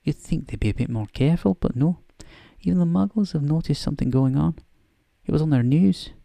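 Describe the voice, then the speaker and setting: Guy doing a stern older scottish lady professor, proper and exasperated, pitched up, storybook character, close dry mic. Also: fairly quick, creaky, mellow, no room sound. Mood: ruffled, impatient. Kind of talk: softly voiced bedtime story character acting.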